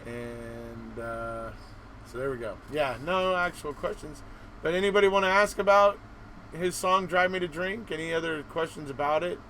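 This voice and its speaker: A man hosting a casual live-stream interview, chatting in a halting, rambling way. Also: a little high, rough recording. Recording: steady background noise.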